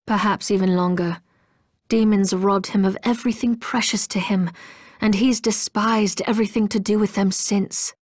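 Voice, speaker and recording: A woman playing a courteous, loyal, gentle ally in a video game, with polite, formal speech. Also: mid-range voice, steady tone, moderate pace, no echo, clean studio sound, close to an everyday voice. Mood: earnest. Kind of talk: video game character acting.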